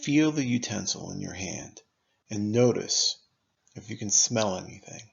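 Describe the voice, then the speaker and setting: Guy leading a guided meditation, creaky gravelly voice, measured phrases w pauses, kinda muffled audio. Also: on the low side, lively intonation. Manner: measured, instructive, with deliberate pauses between phrases. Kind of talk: guided meditation, scripted narration.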